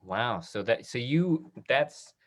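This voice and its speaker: A man's voice: medium pitch, lively intonation, takes it slowly. A man hosting a casual interview on a video call, affirming and summing up what he hears. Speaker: host of a talk back discussion.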